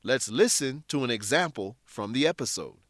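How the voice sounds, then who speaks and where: A man narrating a scripted English lesson in a deliberate, instructional manner. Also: mid-range voice, expressive, fairly bright tone, dry recording, clean studio sound.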